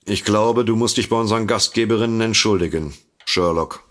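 An actor playing a droll, self-satisfied writer and sidekick in a comedic audio drama, teasing with drawn-out emphasis. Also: male voice, on the low side, fairly bright tone, natural voice. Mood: dry.

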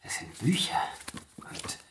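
An actor playing a secretive young scholar in a radio drama, reverently obsessed with his research, with a halting, hushed way of reading aloud. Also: lightly acted. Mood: hushed wonder. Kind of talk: German radio drama acting. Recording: roomy echo, faint noise, clicks.

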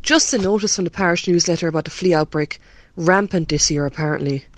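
A woman's voice: lowish voice, fast talker, no echo, close to an everyday voice. A woman with an Irish accent playing a helpful, chatty parish committee member in an amateur radio drama.

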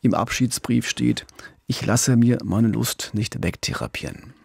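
Gravelly, husky man recounting crime cases. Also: medium pitch, lively intonation, measured pace, a little mellow, dry recording. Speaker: true-crime podcast co-host and crime reporter. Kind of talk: journalistic podcast storytelling in dialogue.

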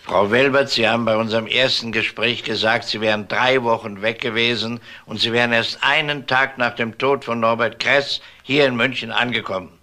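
An actor playing a probing, matter-of-fact police detective in a crime radio drama. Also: male voice, mid-range voice, fairly even intonation, normal speed, hardly any pauses, bright, forceful tone, natural voice. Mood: confronting. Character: male police detective, probing and blunt interrogator. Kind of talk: German TV-crime style radio drama acting.

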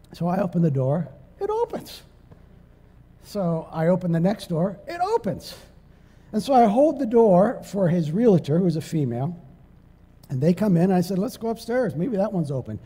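High-voiced man preaching in a church hall. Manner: animated, conversational, rises to loud emphatic preaching. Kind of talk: storytelling sermon. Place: church sanctuary, on stage. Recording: long church hall reverb.

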